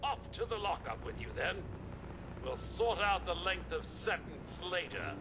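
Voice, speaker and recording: A weary robot security chief from a game, slow n formal, loud rumble behind. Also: male voice, very animated. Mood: stern. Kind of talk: video game character acting.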